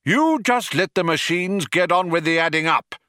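A man's voice: a little high, sing-song, moderate pace, bright, forceful tone, dry recording, studio quality, pitched above the performer's own voice. A man voicing an angry, pedantic older philosopher, indignant and argumentative like a stroppy union man.

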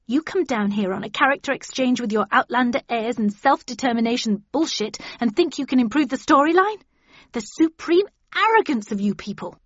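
A woman voicing an angry, jealous middle-aged woman in an audiobook, shrill and scornful. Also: a little fast, hardly any pauses, slightly rough, no echo, highs cut off. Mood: scornful, accusing. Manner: shrill, spitting, rising indignation.

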